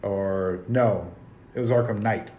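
A man's voice: lowish voice, steady tone, slow pace, a little mellow. A young man co-hosting a casual chat, laid-back and rambling, a bit stuffy-nosed from the sniffles. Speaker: podcast co-host. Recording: echoey room with faint hiss.

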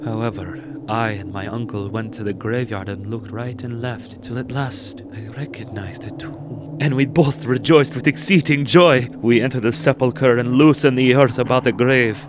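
A man's voice: medium pitch, steady tone, moderate pace, few pauses, natural voice. An actor playing a sorrowful young wanderer, narrating his misfortunes earnestly in formal archaic storybook English. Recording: very noisy recording.

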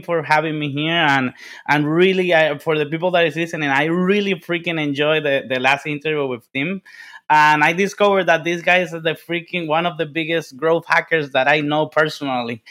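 A man with a Dominican Spanish accent speaks in a high, eager voice. He tells his story at full energy, repeating words and restarting his sentences. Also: sharp tone. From Dominican Republic. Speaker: guest, business development consultant. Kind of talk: casual interview storytelling.

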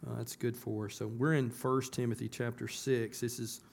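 A hoarse man, a pastor talking to his congregation in a casual, folksy way that turns emphatic. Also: mid-range voice, flat delivery, moderate pace, a little mellow. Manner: folksy, conversational, rising to emphatic. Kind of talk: casual church announcements and sermon. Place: church sanctuary.

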